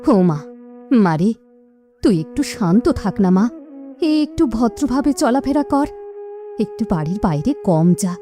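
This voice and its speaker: A woman voicing a weary, gentle widowed mother in a village in a cartoon. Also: fairly high pitch, big pitch swings, a little slow, long pauses, over music. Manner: pleading, weary.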